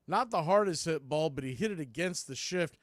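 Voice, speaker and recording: A man's voice: on the high side, animated, fairly quick, dry recording, studio quality. A man calling a baseball game live as a play-by-play announcer.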